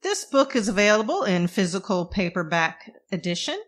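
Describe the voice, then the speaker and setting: A woman reading a children's storybook aloud in an expressive, deliberate way for young listeners. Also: mid-range voice. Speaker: children's book narrator. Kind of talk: scripted read-aloud storybook narration.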